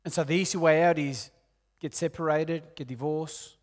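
Earnest Peruvian-born preacher, late forties. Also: male voice, fairly even intonation, moderate pace. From Peru. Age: 40s. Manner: earnest, rises loud on key points and drops soft and low. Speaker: pastor. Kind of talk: sermon.

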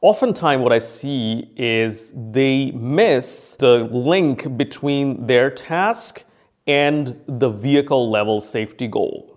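A man's voice: medium pitch, slow pace. A man hosting a podcast, explaining like an expert trainer in a conversational, emphatic way and repeating key phrases for stress. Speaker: podcast host and functional safety consultant and trainer.

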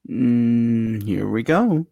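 A man's voice: mid-range voice, expressive, very slow, creaky, no room sound, phone-quality sound. A man co-hosting a radio show, chatting casually. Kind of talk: casual chat.

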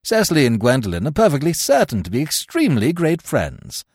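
A man voicing a stiff, earnest young gentleman, pompous and easily irritated, in a storybook reading. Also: medium pitch, huge pitch swings, fairly quick, breathy edge, no room sound, clean studio sound, voice pushed up, exaggerated voice.

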